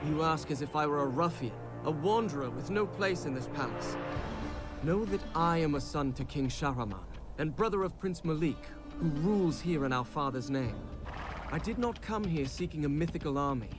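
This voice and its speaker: An actor playing a young, adventurous prince in a video game, articulate, noble and dryly ironic. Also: male voice, on the high side, expressive, normal speed, natural voice. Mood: proud, reflective. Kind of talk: video game voice acting, storytelling narration and banter. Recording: loud game music behind.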